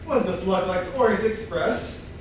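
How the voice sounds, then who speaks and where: A man playing an earnest, halting, pedantic amateur detective in a staged comedy reading. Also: sits high, natural voice. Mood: earnest, fussy. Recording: very echoey room, distant mic, clear noise.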